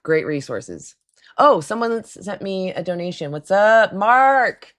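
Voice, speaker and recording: A woman hosting a casual livestream call-in with a raspy, hoarse voice. She chats loosely, laughs often and talks back to her chat. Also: mid-range voice, sing-song, measured pace, fairly bright tone. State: hoarse throat.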